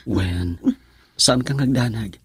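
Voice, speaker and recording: Young boyfriend in ilocano radio drama, bit raspy, dry studio sound, earnest and comforting. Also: male voice, lowish voice, takes it slowly, no room sound, full character voice. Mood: reassuring. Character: young son eloping with his sweetheart, earnest, reassuring.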